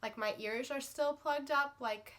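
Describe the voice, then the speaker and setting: A woman's voice: high voice, measured pace, fairly bright tone. A woman book vlogger getting over a cold, chatting to camera in a rambling way with lots of fillers and restarts. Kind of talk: casual chat to camera.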